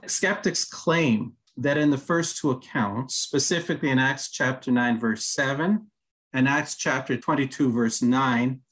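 A man's voice: mid-range voice, fairly bright tone. A man, a ministry director, explaining things in a careful, lecturing way on a podcast, with the odd "uh". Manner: methodical, lecturing with examples and filler "uh". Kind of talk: scripted-feeling podcast discussion, explaining scripture.

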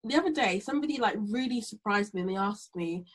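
A woman in her twenties co-hosting a podcast in casual, teasing chat, hoarse from being ill. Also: mid-range voice, animated, quick pace. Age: 20s. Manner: chatty, teasing, laughs often. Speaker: podcast co-host.

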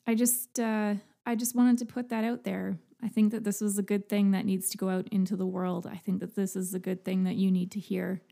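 Soft-spoken earnest woman, mellow voice. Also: mid-range voice, gravelly. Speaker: podcast host. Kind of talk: personal monologue to listeners.

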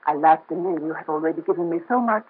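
A breathy young countess. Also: female voice, sits low, fast. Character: young noblewoman in hiding, proud and gentle. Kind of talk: 1930s radio drama acting. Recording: muffled lo-fi old radio transfer.

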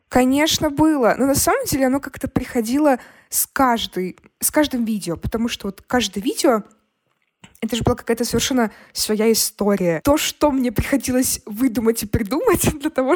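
Young woman, slightly high voice, expressive, informal, casual interview chat. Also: normal speed. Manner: expressive, informal, acts out her inner dialogue. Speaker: podcast guest, singer and content maker. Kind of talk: casual conversational interview answers.

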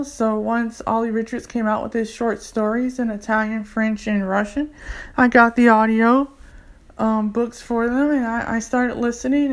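Earnest, enthusiastic woman in her forties. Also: fairly high pitch. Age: 40s. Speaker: community English tutor and language learner. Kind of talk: casual personal testimonial.

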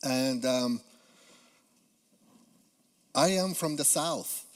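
A man from Peru with a Spanish accent delivers a church sermon at an unhurried, deliberate pace. His middle-pitched voice keeps stopping on little "uh" pauses as he works through his thoughts. A long reverb tail from the sanctuary follows every phrase. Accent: Spanish-accented English. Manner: halting with frequent "uh" fillers, earnest. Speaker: guest preacher.

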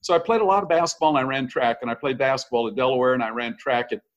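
An older American man, a podcast guest, telling candid, self-deprecating stories from his life in a relaxed interview. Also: medium pitch, racing, lo-fi sound. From United States. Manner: candid, self-deprecating storyteller with frequent restarts. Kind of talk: casual conversational interview.

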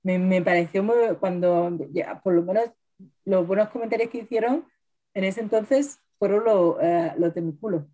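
A woman's voice: mid-range voice, normal speed, dark tone. A woman co-hosting a podcast, telling stories in a chatty way and often tagging on "¿no?". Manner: chatty, keeps adding "¿no?". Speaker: podcast co-host. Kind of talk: casual chat over wine.

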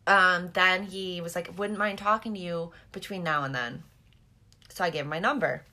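A woman's voice: fairly low pitch, moderate pace, sharp tone. A young woman with a slight lisp, co-hosting a podcast, telling stories in a casual, gossipy, candid way with frequent giggles. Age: young adult. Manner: giggly, candid, sweary oversharer. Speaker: podcast co-host. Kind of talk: casual gossipy chat and storytelling.

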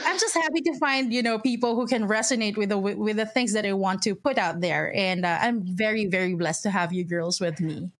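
A woman hosting a live podcast in casual chat, bubbly and quick to laugh, her voice a bit squeaky today. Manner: bubbly, laughs often, greets viewers one by one. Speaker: podcast host. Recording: live-streamed video call.

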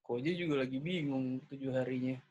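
A man's voice: mid-range voice, steady tone, normal speed, a little mellow, slight background noise. A man with a Jakarta Indonesian accent chatting casually, as in a phone call between friends. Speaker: podcast host.

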